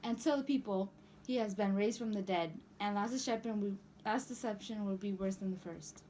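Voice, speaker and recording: A woman's voice: medium pitch, normal speed, warm tone, close to an everyday voice, poor audio. A woman playing a mocking, scheming chief priest in a dramatic scripture reading, delivered as plain declaration. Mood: urgent, wary. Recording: faint background noise.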